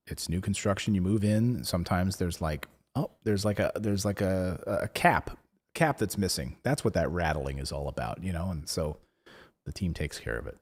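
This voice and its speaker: A man hosting a live investing show, chatting casually, rambling with lots of fillers and restarts, his voice going hoarse. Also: low voice. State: losing his voice. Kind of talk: casual live-stream chat, reading viewer comments.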